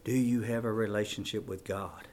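A man preaching a fervent, folksy evangelical sermon, swinging between shouts and hushed appeals. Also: on the low side, fairly even intonation, normal speed, slight background noise. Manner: folksy, plain-spoken grammar with rhetorical questions.

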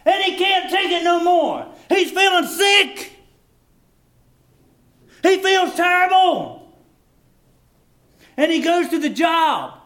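A man preaching a fervent, folksy evangelical sermon, swinging between shouts and hushed appeals. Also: very high-pitched, big pitch swings, slow pace, pauses often. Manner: folksy, plain-spoken grammar with rhetorical questions.

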